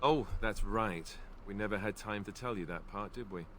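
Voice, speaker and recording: A man's voice: on the low side, normal speed, fairly bright tone, slight background noise, close to an everyday voice. An actor voicing a young male warrior in a video game, who is joking and self-deprecating with a dry, wry humor. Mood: somber.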